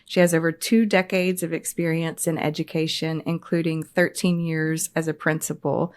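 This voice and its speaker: A woman co-hosting a podcast, introducing the guest in a relaxed, plainspoken way. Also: low-pitched, normal speed. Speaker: podcast co-host.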